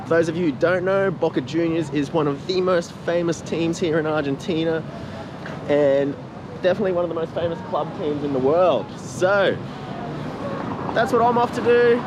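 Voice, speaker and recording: A man with an Australian accent, a native English speaker, giving excited, informal vlog commentary outdoors. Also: fairly high pitch, unhurried, noisy background. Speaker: travel vlogger. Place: outdoors on busy city streets. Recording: outdoor street with crowd and music behind. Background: loud crowd and music.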